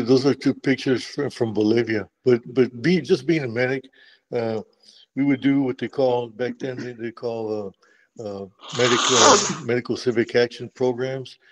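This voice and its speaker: A man's voice: mid-range voice, poor audio. Rambling man telling war stories. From Texas, USA. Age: older. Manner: frequent restarts and repeated words, says "man" often. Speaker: guest, retired Special Forces medic. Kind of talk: conversational interview storytelling.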